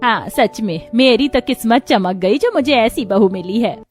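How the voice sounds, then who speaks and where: A proud older mother-in-law from a cartoon moral story, acted by a woman, grateful and full of praise. Her voice is fairly high, with faint music behind it. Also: animated, unhurried.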